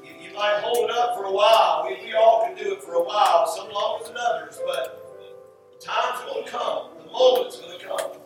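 A man's voice: high-pitched, normal speed. A man preaching a sermon, teaching from scripture in an emphatic way and repeating phrases for stress. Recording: roomy echoing hall, distant mic, music.

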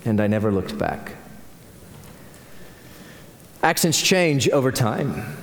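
A man's voice: mid-range voice, big pitch swings, normal speed, slight background noise. A man with a Texan accent, a pastor preaching a sermon with growing earnestness. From United States. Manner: earnest preaching, rising in intensity. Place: church sanctuary. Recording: echoey church hall.

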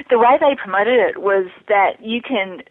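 A woman's voice: a little high, lively intonation, slow, no echo. Woman on a thin phone-like line. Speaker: interview guest, trader. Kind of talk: casual interview conversation. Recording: thin narrowband phone or internet call.

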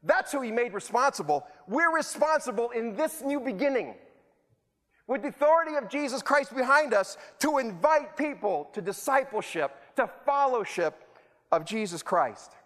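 Male preacher, high voice, rhetorical and self-mocking, echoing hall. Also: expressive, normal speed, fairly bright tone. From El Salvador. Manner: rhetorical, repetitive, self-deprecating humor. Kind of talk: sermon. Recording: long hall reverb.

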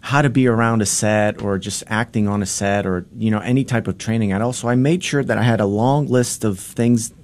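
A man speaks as a radio studio guest, his voice sitting very low. He tells his story earnestly, rambling with many restarts and fillers. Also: brisk, few pauses, darkish tone. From Boston. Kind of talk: interview storytelling. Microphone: studio microphone.

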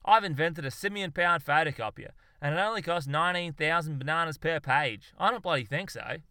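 A man's voice: a little high, lively intonation, brisk, bright, forceful tone, dry recording, studio quality. A man voicing a fervent, exasperated Australian zoologist in a comic story, ranting emphatically and swearing. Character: exasperated, blustering Aussie bloke zoologist, manically fervent.

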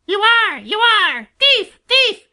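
A man's voice: very high voice, sing-song, slow, pushed and bright, pitched above the performer's own voice, heavily acted. A man voicing a furious, scolding male blue jay from an animal storybook, screaming in a harsh screech. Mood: screaming anger. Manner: screaming, accusatory.

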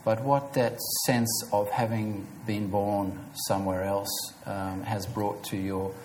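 A man's voice: lowish voice, little pitch movement, takes it slowly, darkish tone, slight background noise, low-quality recording. A man interviewing an author, asking long, searching questions with many fillers.

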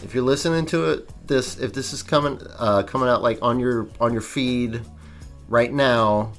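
A man's voice: medium pitch, normal speed, fairly bright tone. A man chatting loosely on his podcast, his voice a little congested from allergies. He rambles and jokes with plenty of fillers, and faint music sits behind him. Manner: rambling, joking, many fillers. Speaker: podcast co-host. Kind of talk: casual chat.

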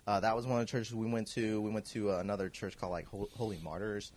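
A man in his thirties from Kansas City, a guest telling his story in a relaxed, rambling way, full of fillers and restarts. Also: lowish voice, fast talker. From Kansas City, USA. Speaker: guest, clothing designer. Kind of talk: casual podcast interview.

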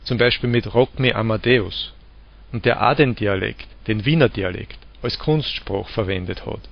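A man with an Austrian accent from the Vienna area, calmly explaining dialects and giving spoken examples. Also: lowish voice, normal speed, gravelly. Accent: Austrian German, Lower Austria/Vienna. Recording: dull lo-fi recording.

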